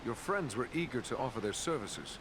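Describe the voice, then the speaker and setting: An earnest young-hearted quest hero from a fantasy game, played by a man. His mid-range voice is formal, respectful and sincere. Rumbling ambience is clearly heard behind him. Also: expressive, brisk, noisy background, natural voice. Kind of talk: dramatic fantasy game acting.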